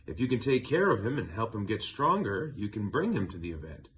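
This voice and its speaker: A kindly pet store manager from a children's picture book as a man reads the part. The manner is encouraging, with lively intonation over faint noise. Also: fairly low pitch, fairly quick. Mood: helpful, encouraging.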